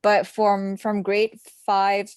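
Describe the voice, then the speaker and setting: A woman's voice: medium pitch, completely flat delivery, drawn-out words, a little mellow, clear, resonant tone, dry recording, studio quality. Chatty young woman on a video call. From Malaysia. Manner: chatty, many fillers and little laughs. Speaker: assistant language teacher, session participant. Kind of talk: casual online conference discussion.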